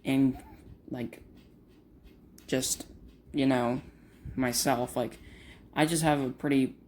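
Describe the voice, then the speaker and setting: Grieving male vlogger, slow and monotone, halting with many fillers. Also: mid-range voice, a little hiss or hum. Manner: monotone, uninteresting voice. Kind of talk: casual talk to camera.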